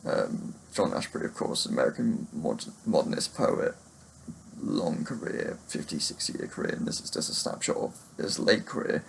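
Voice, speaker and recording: A man with a low-pitched voice and a heavy creak talks casually about books to camera. He speaks without a script, halting and rambling as he feels his way through each thought. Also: animated, a little hiss or hum, low-quality recording. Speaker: book reviewer vlogger. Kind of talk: casual unscripted book chat to camera.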